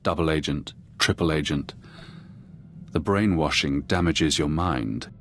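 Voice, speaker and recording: A man voicing a calm, knowing, menacing former intelligence watcher in a video game cutscene, speaking in measured, cryptic phrases. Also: rumbling low, slight background noise. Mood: cold, knowing.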